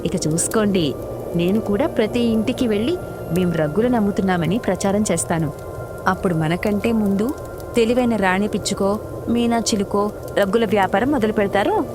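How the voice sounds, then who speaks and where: A woman's voice: mid-range voice, moderate pace, music in the background, close to an everyday voice. A woman voicing a confident pigeon husband in a cartoon story, explaining his schemes in a reassuring way. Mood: calculating.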